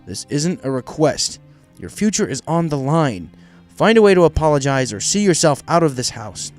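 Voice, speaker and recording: Storybook dad pegasus played by a man: firm, forceful delivery, slightly raised voice, music underneath. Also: a little high, sing-song, normal speed, voice pushed up. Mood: firm ultimatum. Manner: stern, firm, reprimanding. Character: stern pegasus father, exasperated with his teenage daughter.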